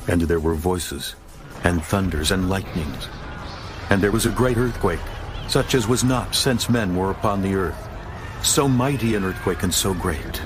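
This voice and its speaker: A man's voice: sits low, unhurried. A man narrating a dramatized scripture audiobook in a solemn, formal manner.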